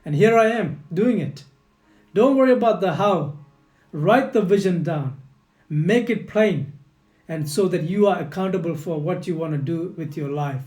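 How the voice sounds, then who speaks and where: A man's voice: high-pitched, animated, moderate pace. Earnest man coaching, echoey room. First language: not English. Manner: earnest, repetitive, addresses the listener directly. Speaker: leadership coach. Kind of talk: motivational teaching talk. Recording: echoey room with soft guitar music.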